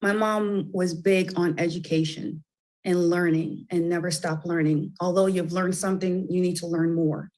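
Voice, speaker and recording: A woman's voice: fairly low pitch, steady tone, moderate pace, darkish tone. A middle-aged woman, a children's book author, talking as a guest in a radio interview, chatty and full of fillers and restarts.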